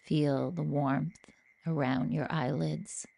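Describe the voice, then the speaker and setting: A woman leading a guided yoga nidra meditation, speaking gently and soothingly with long pauses. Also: low-pitched, fairly even intonation, creaky.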